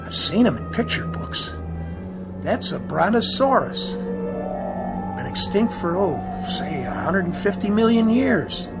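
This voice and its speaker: An actor playing an earnest, uneasy flight crewman in a radio drama, with a halting, wondering delivery. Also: sits high, very animated, over loud music, exaggerated voice. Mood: awestruck.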